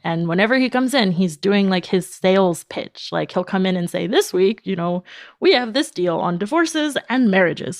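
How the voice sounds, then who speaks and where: Woman, slightly low voice, dry close recording, informal explanatory interview talk. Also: dry recording. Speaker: podcast company founder, guest. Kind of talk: casual interview conversation.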